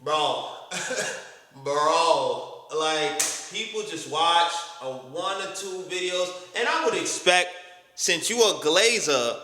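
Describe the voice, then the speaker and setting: A man hosting a reaction video in casual, slangy talk, incredulous and animated, protesting loudly. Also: slow pace, echoey room, big-room sound. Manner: animated, incredulous, slangy, pitch jumps high when protesting.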